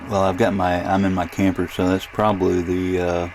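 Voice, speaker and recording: Low, gravelly-voiced man narrating a demo. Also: monotone, moderate pace, a little mellow. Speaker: ham radio demonstrator and channel host. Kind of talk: casual screen-demo narration. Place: inside a camper. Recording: clear background electrical and radio noise. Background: radio interference noise.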